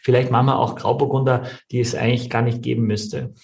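A man with a creaky, gravelly edge chats as a podcast co-host and wine connoisseur. He is casual and opinionated, building his points in long, wandering chains of clauses at a medium pitch. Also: flat delivery, brisk, darkish tone.

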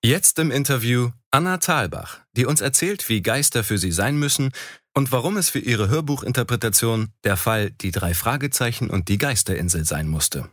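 A man's voice: medium pitch, lively intonation, moderate pace, fairly bright tone, no echo, clean studio sound. A man hosting, reading a scripted intro and asking interview questions. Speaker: interviewer, host.

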